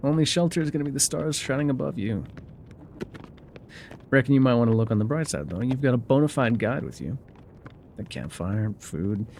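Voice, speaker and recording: An actor playing a gruff, guarded male trail guide in an immersive audio roleplay, with wry, folksy, laconic phrasing. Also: medium pitch, animated, normal speed, long pauses, a little mellow, a little hiss or hum, lightly acted. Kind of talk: immersive ASMR roleplay acting, talking to the listener.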